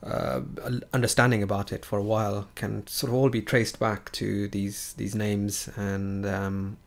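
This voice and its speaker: A man with a low, gravelly voice hosts a live-streamed chat. He stops and restarts often and fills his pauses with "uh" and "um" as he speaks. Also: little pitch movement. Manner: hesitant, many fillers and restarts. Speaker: livestream host.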